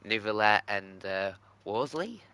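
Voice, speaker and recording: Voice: mid-range voice, expressive, unhurried, pushed and bright, slight background noise. A game streamer giving casual, offhand live commentary in loose asides.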